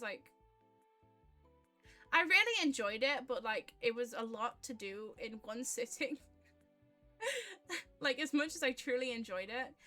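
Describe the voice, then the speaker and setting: A woman's voice: sits high, pushed and bright. A woman livestreamer chatting casually with viewers, in a chatty, gushing way. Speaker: streamer, indie vtuber.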